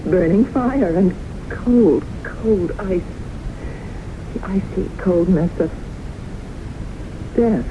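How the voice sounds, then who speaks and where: Haunted woman, 1970s radio drama, intense, deliberate, slow-paced, noisy old broadcast sound. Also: medium pitch, expressive, dull audio. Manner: intense, halting, deliberate delivery. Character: haunted, earnest woman convinced death pursues her.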